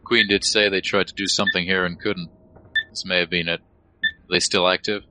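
Man as a deep, terse squad leader. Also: little pitch movement, moderate pace, pushed and bright, poor audio. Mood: measured. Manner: terse, authoritative. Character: commanding, level-headed male mercenary team leader. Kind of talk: audio drama acting.

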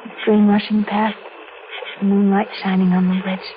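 An actress playing a romantic, easily frightened young newlywed wife with melodramatic delivery in 1940s radio drama style on an old recording. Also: female voice, medium pitch, flat delivery, normal speed, lo-fi sound, noisy background, close to an everyday voice. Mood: tender, dreamy.

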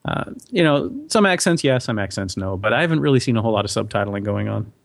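A man's voice: fairly low pitch, lively intonation, fast talker, gravelly, no echo. An American man chatting casually in an easygoing way, laughing often. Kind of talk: casual podcast chat.

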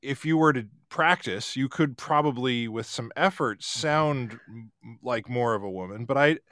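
A man hosting a podcast, joking with a guest in halting, hedging banter. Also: mid-range voice, a bit bright, dry recording, studio quality. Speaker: podcast host. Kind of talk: casual podcast chat.

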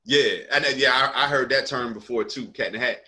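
A man from South Jersey, a comedian hosting a casual conversation, relaxed and quick to agree with his guest. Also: medium pitch. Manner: laid-back, frequent "right, right" agreement. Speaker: comedian and host.

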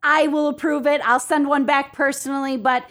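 A woman's voice: high-pitched, little pitch movement, normal speed, fairly bright tone. An American woman speaking as an interview guest, chatty and upbeat in a casual promotional style. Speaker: chamber of commerce president, interview guest.

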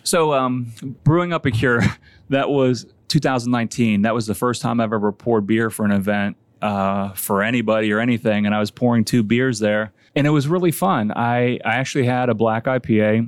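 A man's voice: medium pitch, fairly quick, clean tone, no echo. A man, a homebrewer guest chatting casually on a podcast, rambling and self-deprecating with many restarts.

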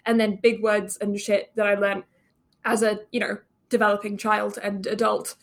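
A woman's voice: mid-range voice, little pitch movement, moderate pace. A young woman with a blended English and Canadian accent, co-hosting a casual podcast chat and laughing often. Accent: mixed English and Canadian. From England, raised in Canada. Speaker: podcast co-host.

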